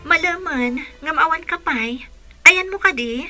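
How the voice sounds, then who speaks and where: A woman's voice: sits high, a little slow. A woman playing a devoted, lovesick young bride in an Ilocano radio drama, earnest and emotional, with a Filipino accent. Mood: disappointed.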